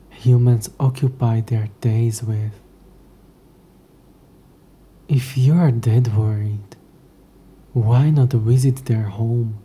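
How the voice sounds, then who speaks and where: A man's voice: mid-range voice, dark tone, breathy edge. A man playing an ancient, possessive demon in an intimate audio roleplay, speaking to the listener in a formal, wry, murmuring way. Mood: wry, curious. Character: ancient possessive male demon, sardonic, curious, teasing.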